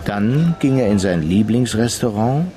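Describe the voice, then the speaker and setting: A man narrates a radio crime play in a lowish, measured, matter-of-fact voice. Music plays beneath the narration. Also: animated.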